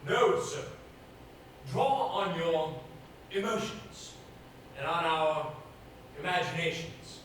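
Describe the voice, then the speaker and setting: A man's voice: on the high side, a bit bright. A man on a theater stage playing an imperious, exacting acting coach who declaims pompously with grand flair. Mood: grandly instructing. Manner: pompous, declamatory, deliberate diction. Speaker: stage actor in an amateur two-man play. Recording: very echoey theater, distant mic, noisy.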